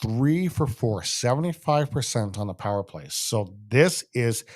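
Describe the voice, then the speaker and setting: A man's voice: medium pitch, expressive, measured pace, noisy background. Emphatic Canadian man talking hockey. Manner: emphatic, repeats phrases for stress. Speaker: sports show host. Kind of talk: casual solo hockey commentary.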